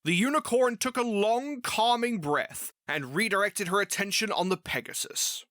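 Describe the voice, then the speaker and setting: A narrator reading a scripted fantasy story in an expressive storybook delivery. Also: medium pitch, normal speed, dry recording, clean studio sound.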